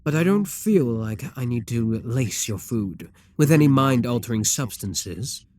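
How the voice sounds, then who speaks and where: A man's voice: mid-range voice, animated, measured pace, darkish tone, no echo, clean studio sound. A man playing a proud, seductive demon king in an ASMR roleplay, speaking in an imperious, courtly and theatrical manner. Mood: indignant, haughty.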